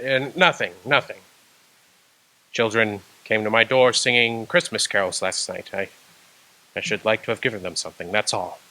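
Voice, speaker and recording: An actor playing an old miser who is by turns remorseful and delighted, halting and wavering in radio drama acting. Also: male voice, on the low side, steady tone, bright, forceful tone, low-quality recording. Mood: sorrowful, hesitant. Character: old miser man, remorseful, reliving his youth.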